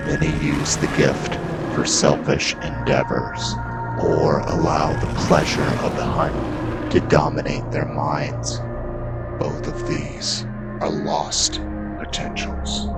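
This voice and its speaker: Very deep, gravelly werewolf zealot. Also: male voice, full character voice. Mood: solemn menace. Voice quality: gravelly, breathy growl. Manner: slow, solemn, preachy proclamation. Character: devout werewolf shaman warrior, solemn zealot of the hunt. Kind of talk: dramatic roleplay monologue. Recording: loud ominous music over the voice. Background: loud dark horror music.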